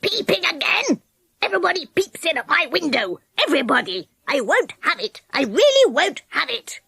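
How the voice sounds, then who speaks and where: A woman voicing an angry little male pixie who shouts in a shrill rage. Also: huge pitch swings, measured pace, breathy edge, dry recording, studio quality, heavily acted. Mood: furious.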